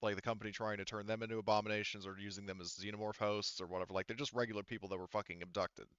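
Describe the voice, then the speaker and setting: A man narrates a tabletop game off the cuff, in a dry, close recording that sounds slightly dull. His voice is fairly low, and he talks casually, working out rulings aloud with the odd curse. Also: steady tone, very fast, a bit bright, clean tone, dry recording. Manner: casual, profane, thinking aloud. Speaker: game master.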